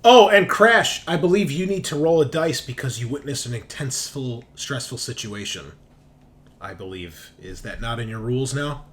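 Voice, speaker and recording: A man's voice: medium pitch, animated, normal speed, a bit bright. A man narrating a tabletop roleplaying game as game master, with casual, off-the-cuff storytelling.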